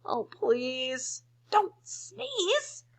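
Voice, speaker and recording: Woman as a shrill, flustered storybook bird. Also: big pitch swings, extremely slow, heavily acted. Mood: flustered pleading.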